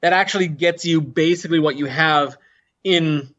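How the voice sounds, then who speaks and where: A man's voice: on the high side, moderate pace, fairly bright tone. A man being interviewed as an author and language inventor, talking thoughtfully with frequent restarts and fillers. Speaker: author and conlanger, interview guest. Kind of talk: casual interview talk. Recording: muffled, dull podcast audio.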